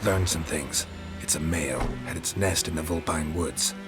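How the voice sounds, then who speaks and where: A gruff, terse monster hunter. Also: male voice, a bass voice, moderate pace. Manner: terse, clipped, flat delivery. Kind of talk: video game voice acting. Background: game music.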